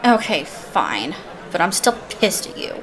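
A woman's voice: on the low side, big pitch swings, a little slow, some chatter behind. Brash, mouthy hard-drinking woman. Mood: drunk, defiant. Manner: informal, defiant, foul-mouthed. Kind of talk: modern audio drama acting.

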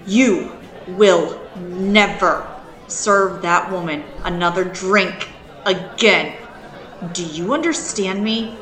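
Blunt, bright-voiced woman in echoey room. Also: fairly low pitch, takes it slowly, some chatter behind. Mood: commanding. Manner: blunt, commanding, sarcastic. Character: stern, suspicious, protective woman friend. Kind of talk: modern audio drama acting.

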